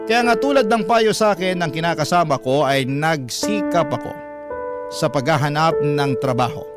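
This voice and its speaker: Man acting troubled confessional narrator, radio drama, earnest, somewhat high pitch, prominent music bed. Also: moderate pace, lightly acted. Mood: ashamed, reflective. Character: troubled, ashamed man confessing his life story. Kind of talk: dramatic first-person letter narration for radio. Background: loud instrumental music.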